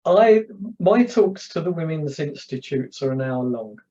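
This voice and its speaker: A man's voice: a little high, expressive, a little slow, dark tone, dull audio. A man from London with a London accent, a public speaking coach chatting as a guest and telling anecdotes, often repeating words as he starts. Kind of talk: casual interview chat.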